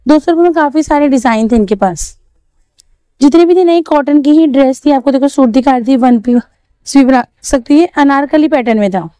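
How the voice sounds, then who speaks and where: A woman's voice: high voice, moderate pace, mellow, no echo. A young woman vlogging at an outdoor market, chatting through prices and fabrics and asking shopkeepers. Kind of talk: walking market vlog showing clothes and prices. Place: busy outdoor street market.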